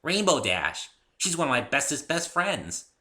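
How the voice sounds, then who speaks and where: A man plays a bubbly young cartoon pony girl. The voice is pushed up high and sharp, perky and full of exclamation, with lively swings in pitch. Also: a little slow, exaggerated voice. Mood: eager.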